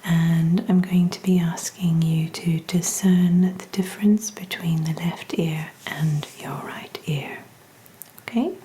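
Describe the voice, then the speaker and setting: Casting: woman as calm ASMR ear-exam clinician, whispery and mellow, very unhurried, gentle and reassuring. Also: on the low side, slight background noise. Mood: gentle, soothing. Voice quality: breathy, whispery. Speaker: ASMR performer. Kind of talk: soft-spoken ASMR roleplay.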